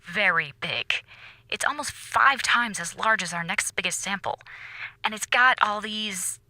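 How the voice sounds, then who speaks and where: An actress playing an earnest, formal space station commander in an audio drama, serious in manner. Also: female voice, mid-range voice, lively intonation, measured pace, sharp tone, dry recording. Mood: uneasy, earnest.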